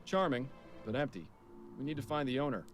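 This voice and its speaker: A man's voice: mid-range voice, animated, fairly quick, soft background music, lightly acted. A man playing a dry, businesslike police detective in a voiced adventure game, asking things politely and to the point. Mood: dry, focused.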